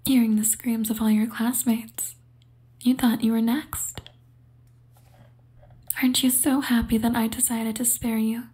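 Woman doing a breathy whispering yandere girl. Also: on the high side, flat delivery, unhurried, pauses often, warm tone. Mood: sweetly menacing. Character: possessive obsessive yandere young woman, sweetly menacing. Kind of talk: ASMR roleplay.

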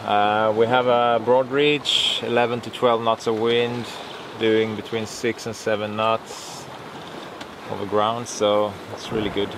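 A man's voice: on the low side, unhurried. A Swedish man in his forties chatting casually about the sail from a boat cockpit at sea, filmed on a close camera. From Sweden. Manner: relaxed, matter-of-fact, sailing jargon. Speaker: sailing vlogger. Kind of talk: casual chat. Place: cockpit of a sailboat at sea, outdoors. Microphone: handheld camera close to face. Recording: wind and wave noise outdoors.

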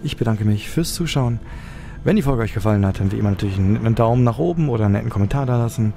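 A man's voice: fairly low pitch, lively intonation, rapid-fire, creaky, mellow, a little hiss or hum. A man giving casual commentary as host of a gameplay video. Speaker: let's play host. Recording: game music behind the voice. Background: video game music.

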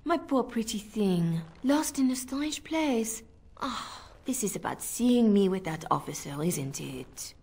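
Female spymaster for a fantasy game: husky, sly, seductive, controlling. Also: medium pitch, lively intonation, takes it slowly, darkish tone, full character voice. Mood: coaxing, cool. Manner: coaxing, commanding, condescending endearments. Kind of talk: video game voice acting.

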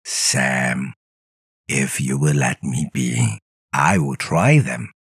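Grouchy storybook creature, acted by a man: very low, husky, stubborn, slow and emphatic. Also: expressive, no echo, clean studio sound, full character voice. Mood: exasperated. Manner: emphatic, grumbling refusals. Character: grumpy, stubborn, grouchy large creature refusing food. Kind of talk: storybook character voice.

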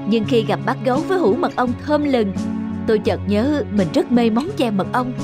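A simple-hearted rabbit husband from a cartoon fairy tale, played by a man. He tells things earnestly and tenderly, full of gentle devotion. Music is clearly heard behind him. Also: extremely high pitch, animated, normal speed. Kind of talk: animated fairy tale acting.

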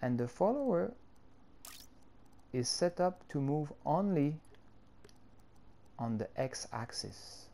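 A man's voice: fairly high pitch, very animated, vocal fry, dark tone. A man whose first language is French, speaking English with a French accent and walking through a game-editor tutorial step by step. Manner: unhurried, explaining step by step with fillers. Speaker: tutorial creator.

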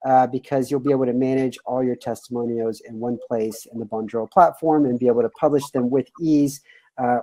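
A man's voice: mid-range voice, mellow. A man in his thirties hosting a webinar over a video call from a room at home, upbeat and loose, with many fillers. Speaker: webinar host.

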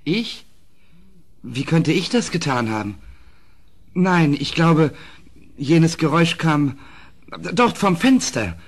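An actor playing a cunning dwarf court jester, servile and sly, in a vintage German radio play. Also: male voice, fairly high pitch, big pitch swings, slow, long pauses, exaggerated voice. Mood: innocent, sly. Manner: obsequious, sly, theatrically courteous.

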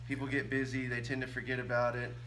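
A man's voice: mid-range voice, fast, distant mic, rough recording. A man in his thirties, a barber and shop owner, talking earnestly in a salesy way with many fillers while he cuts hair in his barbershop. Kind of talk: casual chat while cutting hair. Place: barbershop, indoors. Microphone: camera on a stand a few feet away. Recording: room recording with steady hum.

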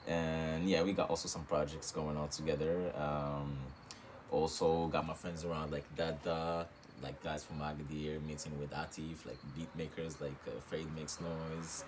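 A young man from Morocco with an extremely low voice chats in a relaxed way, full of fillers and quiet laughs. He is recorded close on a phone in a small room, with a faint hiss behind him. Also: normal speed. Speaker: guest rapper. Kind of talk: casual interview. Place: small room with reed-panel wall.

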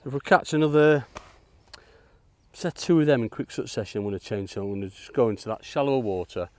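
A man's voice: mid-range voice, animated, fast. A man, chatting casually and matily while he fishes beside a lake, on a lapel mic. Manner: chatty, matey, calls people "mate". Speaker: angler presenting a fishing video. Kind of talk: casual chat while fishing. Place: outdoors at a lakeside fishery.

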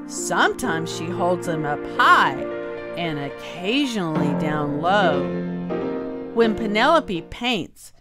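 A woman reading a children's story aloud as a storyteller, expressive and carefully enunciated, drawing out key words. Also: mid-range voice. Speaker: storyteller, host. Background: music.

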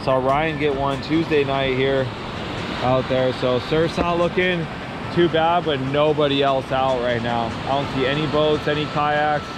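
A man's voice: mid-range voice, fairly even intonation, unhurried, background noise. A young man narrating his fishing trip from a small skiff on the open ocean, recorded on an action camera, talking in a hyped, upbeat way. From San Diego area. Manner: hyped, shouting when hooked up. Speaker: fishing vlogger. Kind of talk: excited fishing vlog narration. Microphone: action camera on a mount.

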